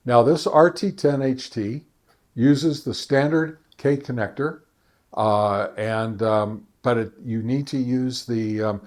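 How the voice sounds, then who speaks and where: An American man presenting a hobby video in a casual, unscripted way, speaking haltingly with many filler pauses. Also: mid-range voice, a little mellow. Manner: halting, hesitant delivery with frequent long filler pauses. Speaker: ham radio video creator and presenter. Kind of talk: casual unscripted product talk.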